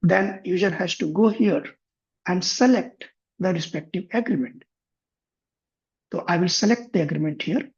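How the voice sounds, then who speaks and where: Man, husky voice, software tutorial, thinking aloud, muffled mic. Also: high voice, moderate pace, pauses often. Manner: thinks aloud with restarts while clicking through screens. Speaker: software instructor. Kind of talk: screen-share software tutorial.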